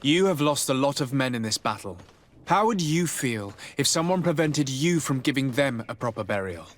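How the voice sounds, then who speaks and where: A man's voice: medium pitch, animated, normal speed, close to an everyday voice. An actor playing a courteous, compassionate young nobleman and diplomat in a video game, speaking politely and sincerely. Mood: persuasive. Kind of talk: video game dialogue acting. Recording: game dialogue under prominent music.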